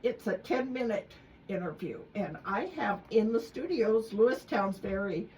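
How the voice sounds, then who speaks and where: A woman's voice: mid-range voice, lively intonation, normal speed, a little mellow, recorded from a distance, low-quality recording. A woman hosting a local radio interview in a studio, chatty and upbeat. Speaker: radio host. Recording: faint noise, off-mic in studio.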